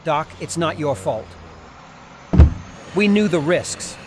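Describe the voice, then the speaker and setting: A man playing a steady, practical army sergeant in a horror audio comic. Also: fairly high pitch, slow pace. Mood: firm. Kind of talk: dramatic audio comic acting. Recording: noisy vehicle sound effects behind.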